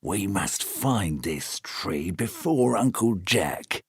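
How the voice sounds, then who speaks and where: A man's voice: deep voice, lively intonation, takes it slowly, breathy edge, no room sound, studio quality, heavily acted. A man doing sly whispering villains. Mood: hushed scheming. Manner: sly, hushed and plotting. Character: two scheming bad men, adult villains.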